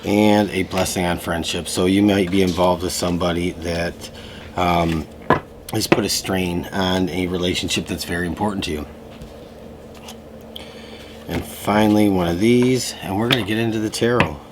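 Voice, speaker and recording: A man giving a casual tarot reading to camera, slightly hoarse from a lingering cough, talking in a loose way with many fillers. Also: sits low, normal speed, background noise. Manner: relaxed, loose, full of fillers and restarts. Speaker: tarot reader. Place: room at home.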